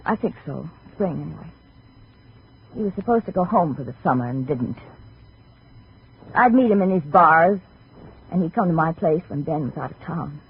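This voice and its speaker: A woman's voice: sits low, animated, moderate pace, pauses often, breathy edge, full character voice. An American actress playing a desperate, pleading, stubborn young woman in a 1950s radio drama. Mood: guarded, subdued. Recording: muffled, phone-like old radio recording.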